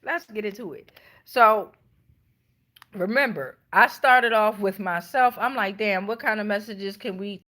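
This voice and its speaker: A woman's voice: mid-range voice, a little slow, sharp tone. A woman hosting an improvised tarot reading, talking colloquially to viewers with frequent check-in tags and some swearing. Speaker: host, tarot reader.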